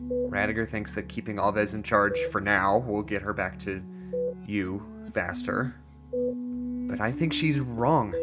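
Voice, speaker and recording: A man playing an earnest, hesitant striker in an audio drama monologue, musing haltingly. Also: lowish voice, natural voice.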